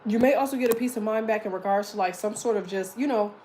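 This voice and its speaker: Chatty deep-voiced woman reading tarot. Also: quick pace, mellow, a little hiss or hum. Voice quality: deep, slightly muffled voice. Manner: chatty, loose, swears casually. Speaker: tarot reader.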